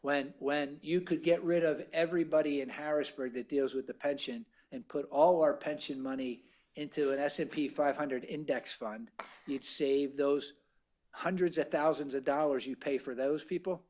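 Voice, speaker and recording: A man's voice: a little high, fairly even intonation, moderate pace, a little mellow. A man presenting budget figures at a committee meeting, explaining at length and checking in with "right?" after nearly every point. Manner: explanatory, tags lines with "right?". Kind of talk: meeting discussion.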